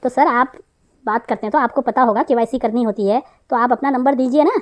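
Cartoon role for a woman: fake male bank manager, high-pitched, officious, wheedling and persistent. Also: fairly quick, a little mellow, natural voice. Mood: insistent. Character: pushy male phone scammer posing as bank manager. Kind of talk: comic cartoon dialogue.

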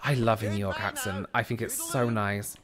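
A man in his thirties with a South London accent, chatting casually with his viewers while he plays a game on a headset mic at home. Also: moderate pace. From England. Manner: chatty, animated, jumps into a high squeal when excited. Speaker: streamer. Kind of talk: casual chat while gaming, reading chat aloud. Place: small room at home. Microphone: headset with boom mic.

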